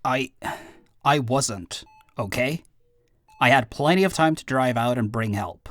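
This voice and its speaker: A man playing a guilty, devoted brother and werewolf clan second in an audio roleplay drama, earnest and halting as he speaks. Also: mid-range voice, a bit bright, dry recording, close to an everyday voice.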